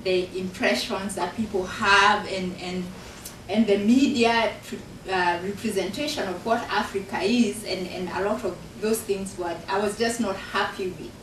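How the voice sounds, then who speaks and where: A woman's voice: medium pitch, steady tone, measured pace. Woman, Kenyan accent, author talk, earnest, explanatory, distant mic, faint hum behind. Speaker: author and university professor. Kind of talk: talk about her book to an audience. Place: university function room. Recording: echoey room recorded from afar.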